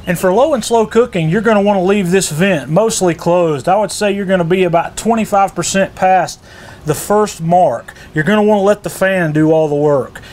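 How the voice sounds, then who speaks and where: A man's voice: sits high, moderate pace, a little mellow. A middle-aged man, explaining step by step in a casual, folksy how-to style as a product demonstrator. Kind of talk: casual instructional how-to narration.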